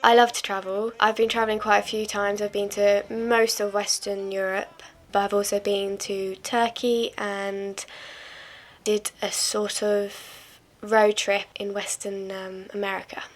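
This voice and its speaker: A woman's voice: mid-range voice, level tone, unhurried. A nineteen-year-old woman talking thoughtfully about wanting to travel. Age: teens. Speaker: interviewee.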